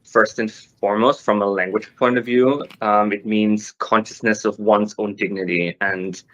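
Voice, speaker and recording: A man speaking as a marketing lead on a corporate panel, measured and a little hesitant, with frequent "um"s. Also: on the low side, steady tone, fairly bright tone, lo-fi sound.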